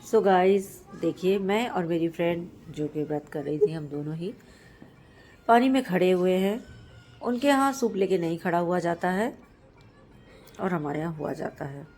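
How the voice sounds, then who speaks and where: Hoarse-voiced woman vlogging on a rooftop. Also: lowish voice, moderate pace, a little mellow, soft background music. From Madhya Pradesh, India. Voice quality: husky. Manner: explanatory, devotional. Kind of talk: casual vlog narration. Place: outdoors on a rooftop.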